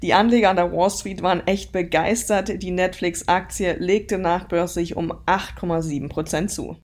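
A woman's voice: lowish voice, fairly even intonation, moderate pace, darkish tone. A woman giving a conversational financial news report as a market correspondent.

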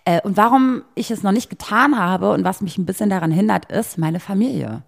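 A woman's voice: medium pitch, fairly quick, creaky. A young adult woman chatting casually as a podcast co-host, in slangy speech sprinkled with English words. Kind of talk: casual chat.

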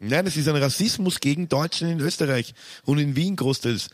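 A young Viennese man hosting a casual podcast, an animated teller of anecdotes and jokes. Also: a little high, fairly quick. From Austria. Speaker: podcast host.

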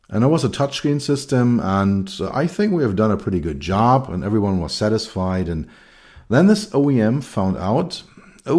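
A middle-aged man with a German accent talks through his ideas on his own. His voice sits very low and sounds mellow. He pauses, repeats words and fills gaps with ums. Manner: thoughtful, with many fillers and restarts. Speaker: consultant and keynote speaker. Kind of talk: solo talk on design.

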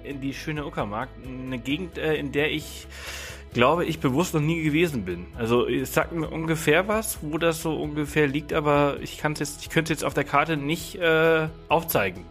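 A man's voice: on the high side, normal speed, fairly bright tone, faint music behind. A man hosting a podcast, chatting casually with his guest, with many fillers and restarts. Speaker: podcast host. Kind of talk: casual interview chat.

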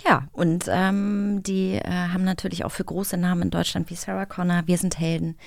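A woman co-hosting a podcast, chatting in a lively, sometimes hesitant way. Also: lowish voice, moderate pace, gravelly, no echo, studio quality. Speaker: podcast co-host. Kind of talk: casual interview.

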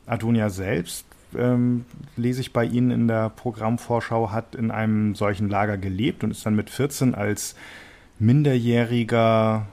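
A man's voice: fairly low pitch, fairly even intonation, measured pace, darkish tone. A man interviewing a publisher, summarizing books and asking questions while searching for words.

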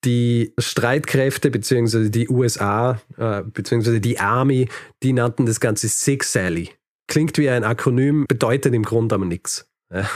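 A man's voice: mid-range voice, moderate pace, dry recording. A man hosting a podcast, telling history in a conversational, explanatory way with light dry humour. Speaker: podcast host.

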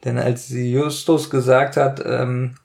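A man with a medium-pitched voice chats as a podcast co-host. He comments casually and jumps in with bantering interjections. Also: little pitch movement, takes it slowly, creaky, a little mellow. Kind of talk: casual podcast chat, commentary on an audio drama.

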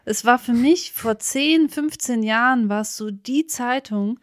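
A woman co-hosting a podcast, telling stories in an animated, giggly way in casual, humorous chat. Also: on the high side, a little slow, dry recording, studio quality. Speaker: podcast co-host.

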